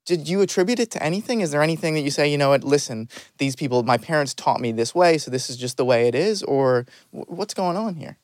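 A man's voice: a little high, animated, fast, few pauses, no echo. A man hosting an interview, asking curious, conversational questions. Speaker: podcast host, interviewer.

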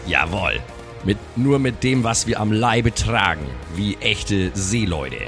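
Man as sailor ghost, eager, cheeky, lowish male voice, improvised roleplay, clear music behind. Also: animated, slow. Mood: jaunty.

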